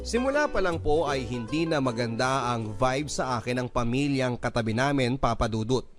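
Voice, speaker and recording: Male radio-drama narrator, calm and steady, mid-range voice, clear music bed behind. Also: mid-range voice, normal speed. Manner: calm, even storytelling. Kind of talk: scripted letter narration. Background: clear background music.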